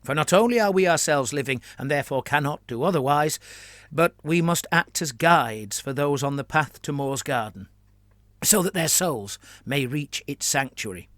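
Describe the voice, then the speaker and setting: Brief: a man playing a wordy, evasive priest, measured and soothing, dry recording. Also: fairly high pitch, animated, a bit bright, no room sound. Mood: placating. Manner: measured, rambling, placating. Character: evasive scheming male priest, calm and wordy.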